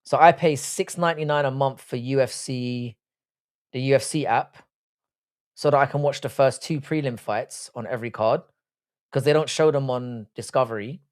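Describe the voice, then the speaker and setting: A British man in his forties hosts a live chat at a middling pitch. He talks casually and bluntly, answering comments as they come. His manner is crude and jokey, full of everyday slang. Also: normal speed. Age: early 40s. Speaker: livestream host.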